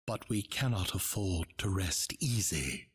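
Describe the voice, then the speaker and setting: Game villain: very husky, rough, slow and measured, wary plotter. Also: male voice, deep voice, animated, a bit bright, exaggerated voice. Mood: cold, cautious. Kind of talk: English video game dub acting.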